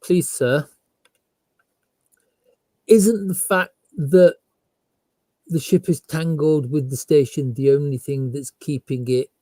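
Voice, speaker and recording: A man's voice: a little high, steady tone, slow, pauses often, warm tone, dry recording. A man playing a tabletop roleplaying game, reasoning aloud in casual discussion and rephrasing himself as he goes.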